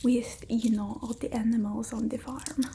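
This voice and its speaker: Woman acting a creaky, whispering vampire mistress. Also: on the high side, steady tone, slight background noise, natural voice. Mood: soothing, fussy. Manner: slow, hushed, haughty, fussing over the listener. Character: bossy, fussy old-world female vampire mistress. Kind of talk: ASMR roleplay, whispered and soft-spoken.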